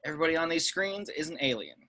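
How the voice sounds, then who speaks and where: A man reading the part of a brisk, dry-witted veteran secret agent in an amateur film script table read. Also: fairly high pitch, very animated, pushed and bright, full character voice. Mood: dry, matter-of-fact.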